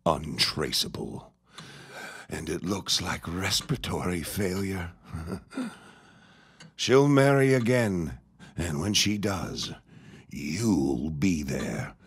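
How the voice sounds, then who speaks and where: An actor playing a menacing, scheming old reverend in an audio drama, husky and pompous in his deliberate delivery. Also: low voice, lively intonation, exaggerated voice. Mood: sinister scheming. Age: older. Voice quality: husky, raspy.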